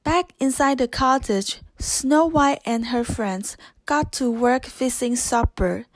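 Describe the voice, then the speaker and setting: A woman narrating a scripted children's story with gentle, careful phrasing. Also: high-pitched, takes it slowly, dry recording. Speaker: audiobook narrator.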